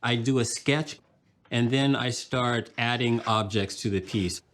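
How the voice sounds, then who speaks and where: An older man from Washington, D.C., with an American accent, explaining his work in a measured documentary interview style. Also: medium pitch, a bit bright, a little hiss or hum. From Washington, D.C., United States. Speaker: puppeteer, artist, museum curator.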